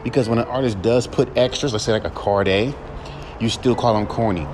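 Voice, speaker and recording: A young man with a droll baritone voice, ranting bluntly and profanely on his solo podcast. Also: brisk, a little mellow, faint music behind. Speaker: podcast host and rapper.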